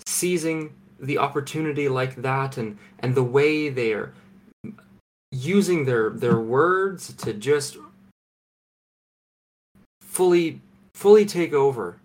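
A young Canadian man co-hosting a podcast from home, deadpan and mock-serious, with halting restarts. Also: mid-range voice, a little hiss or hum. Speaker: podcast co-host. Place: home, remote recording.

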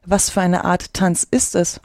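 A curious young storybook girl, played by a woman, polite and a little timid. Her tone is gentle and mellow, in a dry, close recording. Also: fairly low pitch, little pitch movement, measured pace, no echo. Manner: polite, hesitant. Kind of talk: storybook character reading.

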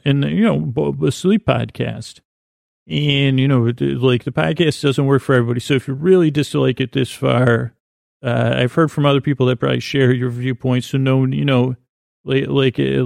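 An American man with a creaky voice hosting a sleep podcast, rambling in a halting, reassuring way as he speaks straight to the listener. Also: medium pitch, darkish tone, no echo, clean studio sound. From Syracuse, New York, United States. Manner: meandering, halting, stumbling over words, reassuring. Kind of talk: rambling bedtime monologue, addressing the listener.

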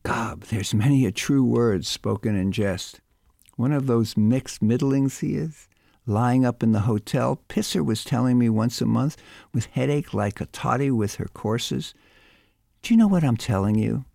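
A man voicing a belligerent, hard-drinking Dublin man who rants coarsely and mockingly, with a gravelly, husky, lively voice. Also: medium pitch, measured pace, dry recording, clean studio sound. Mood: scornful rant.

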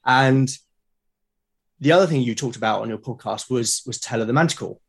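A man's voice: mid-range voice, brisk, vocal fry, slightly rough. A man chatting casually as a podcast guest, enthusiastic but hesitant, often repeating words as he goes. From Britain. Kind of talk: casual conversational interview.

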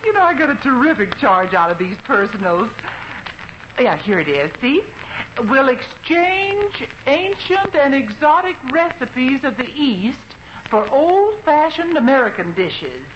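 Excitable neighborhood wife with dry wit, high and swooping; noisy 1950s radio sound. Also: female voice, unhurried, heavily acted. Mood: intrigued, teasing. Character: wry, excitable gossipy American wife. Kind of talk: 1950s radio situation comedy acting. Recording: muffled, noisy old radio recording.

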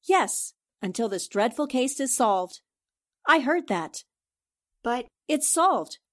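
A woman acts out a sharp female newspaper sleuth from a forties-style radio play. Her voice sits fairly high, and she lays out accusations with deliberate, confident assertion. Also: very animated, heavily acted. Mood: pointed, knowing. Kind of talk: radio drama acting in 1940s style.

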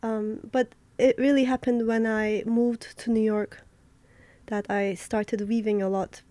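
A young woman from Turkey, an artist answering interview questions in a thoughtful, halting way, with many fillers and restarts. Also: fairly high pitch, little pitch movement, dark tone, dry recording.

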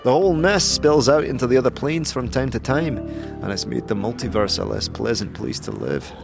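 A man's voice: medium pitch, expressive, moderate pace, gravelly, pitched above the performer's own voice. Man voicing a folksy, rambling old soldier. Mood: weary, grim. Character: jovial old war veteran, rambling and weary. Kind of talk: fantasy audio drama acting. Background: prominent background music.

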